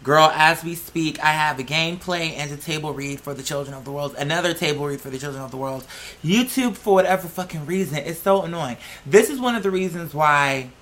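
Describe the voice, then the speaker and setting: American accent, bright tone, mid-range pitch, casual solo vlog chat, slangy, sweary, emphatic, animated host. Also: brisk. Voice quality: slangy speech peppered with "girl" and swearing. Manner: animated, emphatic, conversational rambling. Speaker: host of a talk show vlog.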